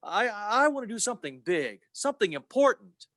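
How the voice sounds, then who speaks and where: Radio play: man as restless young dreamer, earnest, high-pitched, very animated. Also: moderate pace, no room sound, studio quality. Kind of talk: old-time radio play acting.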